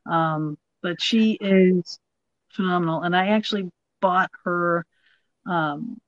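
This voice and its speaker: A woman from Massachusetts with a Massachusetts accent and a rough voice, hosting a casual chat and talking bluntly. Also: fairly low pitch, dry recording, dull audio. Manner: blunt, quick and laughing. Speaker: podcast host.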